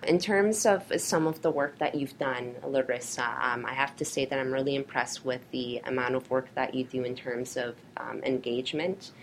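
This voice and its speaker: A woman's voice: extremely low pitch, a little hiss or hum, low-quality recording. A woman raised in Canada, with Polish as her first language, interviewing a guest and reading formal prepared questions.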